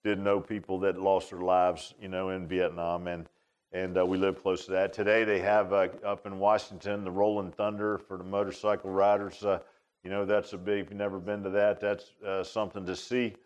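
An American man in his sixties preaching an informal sermon in a halting, folksy, conversational way, often repeating words. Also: low-pitched, little pitch movement, lo-fi sound. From United States. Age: 60s. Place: speaking in a church.

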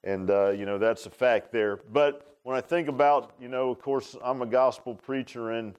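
An American man in his sixties preaching an informal sermon in a halting, folksy, conversational way, often repeating words. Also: mid-range voice, fairly even intonation, darkish tone, clean tone. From United States. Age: 60s. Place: speaking in a church.

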